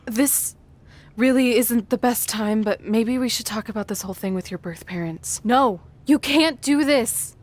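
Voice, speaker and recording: A woman's voice: on the high side, measured pace, a little mellow. A woman acting a sensible, teasing young female wrestler in a video game cutscene, with a wry, nagging way of talking. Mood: concerned.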